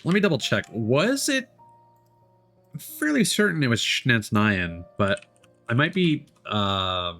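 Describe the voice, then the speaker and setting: A man casually commenting on a game live stream, thinking aloud in a halting way. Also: medium pitch, sing-song, a bit bright, soft background music.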